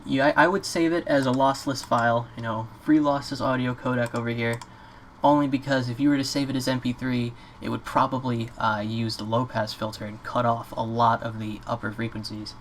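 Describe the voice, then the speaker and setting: Male tutorial presenter, average pitch, informal and unscripted, noisy desktop recording with typing. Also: little pitch movement, brisk. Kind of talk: casual screen-recorded software tutorial. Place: indoors at a computer. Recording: clear background noise, keyboard clicks.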